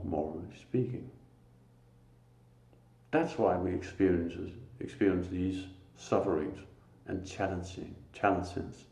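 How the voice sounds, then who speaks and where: A man with a Danish accent giving a slow, earnest, informal spiritual talk, pausing often. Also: low-pitched, warm tone. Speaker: lay preacher. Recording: echoey room.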